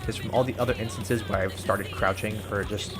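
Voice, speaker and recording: A man with a fairly low voice gives live gameplay commentary. He talks through what he is doing as a walkthrough, over very loud music. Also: steady tone, brisk. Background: loud game music and sounds.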